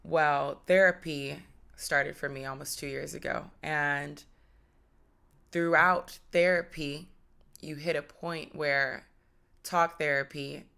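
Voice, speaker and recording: Flat-voiced woman with vocal fry. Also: low voice. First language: Portuguese. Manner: candid, reflective, measured. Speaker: podcast host. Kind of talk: personal storytelling monologue.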